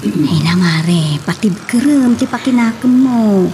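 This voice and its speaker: A woman's voice: medium pitch, expressive, a little slow, noisy background, natural voice. A woman acting emotional, outspoken characters in an Ilocano audio drama. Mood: consoling, advising.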